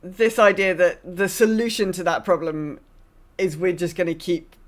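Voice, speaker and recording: A woman's voice: lowish voice, fairly even intonation, normal speed. Wry woman podcast host chatting casually. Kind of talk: casual discussion of a video game.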